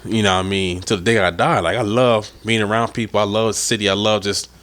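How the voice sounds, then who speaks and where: Young man, podcast host, rambling, profane, stuffy-nosed, lowish voice. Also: fairly even intonation, fast, a bit bright. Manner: rambling, profane, laid-back. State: stuffy nose from a head cold. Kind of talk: casual solo podcast talk.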